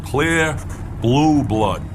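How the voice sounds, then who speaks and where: A man's voice: medium pitch, lively intonation, very slow, noisy background. An actor playing an earnest, impatient male writer in a radio drama, with an insistent, matter-of-fact, clipped delivery. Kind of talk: radio drama acting, conversational.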